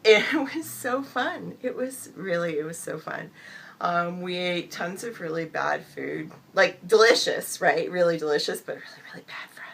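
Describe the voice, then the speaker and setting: Middle-aged woman vlogger, hoarse from a cold, gravelly low voice, upbeat chatty delivery. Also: expressive, moderate pace, fairly bright tone. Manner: chatty, upbeat, many fillers. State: has a cold, losing her voice, gravelly and whispering. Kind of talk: casual chat to camera.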